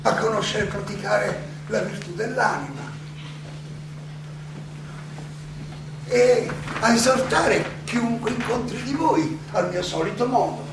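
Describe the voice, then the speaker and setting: Defiant philosopher at his trial, high gravelly voice, declamatory stage delivery, rhetorical questioning, distant noisy hall recording. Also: lively intonation, moderate pace, hardly any pauses. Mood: firm, affectionate. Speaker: stage actor and director. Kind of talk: theatrical monologue. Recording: echoey hall, distant mic, clearly noisy.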